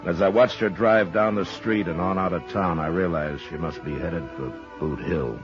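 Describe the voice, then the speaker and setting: An American actor playing a stoic, world-weary frontier marshal in a 1950s radio western, speaking in a measured, laconic way. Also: male voice, sits low, close to an everyday voice. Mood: somber narration. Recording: dull old radio recording, faint music.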